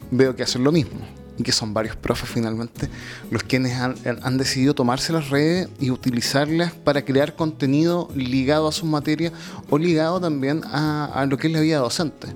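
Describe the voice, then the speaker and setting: A man's voice: medium pitch, fairly even intonation, moderate pace, soft background music. A man with a Chilean accent hosting an informal radio interview.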